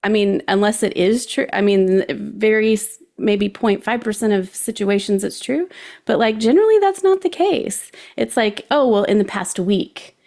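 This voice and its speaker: A woman's voice: medium pitch, normal speed, a little mellow. A woman giving casual advice on a podcast in an informal, rambling way, often voicing imagined dialogue.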